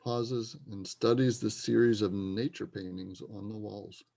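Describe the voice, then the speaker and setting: A man's voice: lowish voice, fairly even intonation, measured pace, vocal fry, mellow. A man reading the stage directions as narrator of a readers' theater play, in scripted narration.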